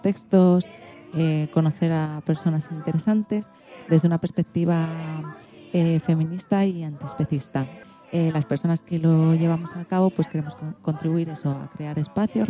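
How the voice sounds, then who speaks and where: Low, bright-voiced Spanish woman presenting radio. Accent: Spanish, from Spain. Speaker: radio show host.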